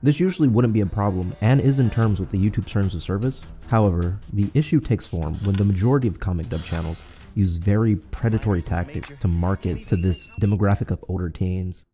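A man's voice: fairly low pitch, a little fast, hardly any pauses, dark tone. A man reading scripted narration as the narrator of a video essay. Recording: background music under voice. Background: music.

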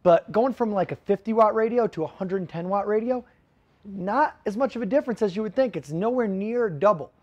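A man's voice: sits high, brisk, darkish tone, dry recording, muffled recording. A man in his thirties giving a casual, practical how-to talk about radio gear, first in a garage and then outdoors on a windy lot. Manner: direct, practical, frequent "okay?" check-ins. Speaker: shop owner explaining radio gear.

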